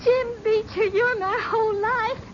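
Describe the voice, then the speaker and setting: A woman's voice: very high-pitched, fairly even intonation, measured pace. An actress playing a young, pregnant actress in a 1970s radio drama, pleading with her lover in a tearful drawl. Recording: muffled old radio broadcast, faint hiss.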